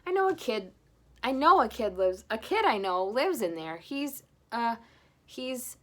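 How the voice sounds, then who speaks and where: An eager young boy bear from a storybook , voiced by a woman, muddled and forgetful. He speaks high and haltingly, hunting for the words. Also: sing-song.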